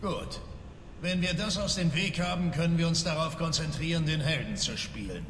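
An actor playing a hard, cynical middle-aged bounty hunter in a video game, blunt and callous. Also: male voice, a little high, normal speed, lightly acted. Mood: dry. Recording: echoey hall with faint noise.

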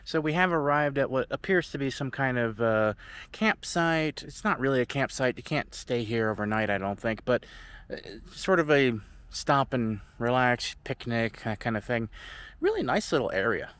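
A man's voice: medium pitch, moderate pace, smooth. A young man narrating a casual hiking vlog outdoors, chatty and joking, filmed on a camera at arm's length. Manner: chatty, joking, relaxed commentary. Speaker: travel vlogger. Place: outdoors on a forest trail. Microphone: action camera at arm's length.

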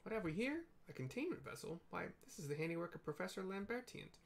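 A man's voice: sits high, sing-song, fast, creaky, voice pushed up, exaggerated voice. A man voicing a small, indignant, self-important student researcher from a fantasy game, haughty and exclamatory. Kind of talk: reading game dialogue aloud.

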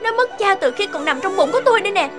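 A woman acts a young mother in a cartoon melodrama, proud and emotional. Her voice is high, and music plays clearly behind her. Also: expressive, quick pace. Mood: bitter, angry.

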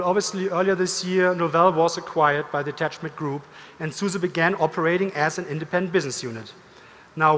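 The middle-aged man you would hear on a big conference stage, delivering the company keynote deliberately in a fairly high voice that rings through the hall. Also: a bit bright. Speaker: company executive, keynote speaker. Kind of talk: corporate keynote presentation. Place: large indoor conference stage. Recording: reverberant hall, stage amplification.

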